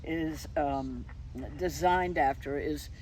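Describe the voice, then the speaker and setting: A woman event organizer speaking off the cuff and in an animated way as she promotes a community event in an interview. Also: low-pitched, slow pace. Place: outdoors in a downtown park. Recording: outdoor recording with clear traffic noise.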